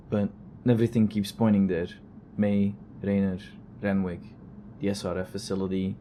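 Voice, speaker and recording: Over steady background noise comes an actor as a male investigator in an audio drama, low and soft-edged. He rambles through his recorded log with weary, dry sarcasm. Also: close to an everyday voice.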